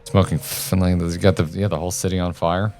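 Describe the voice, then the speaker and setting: A man around his thirties or forties reacting casually and excitedly to game footage, with informal, opinionated commentary. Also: sits low, brisk, faint music behind. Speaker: co-host of a video game reaction show.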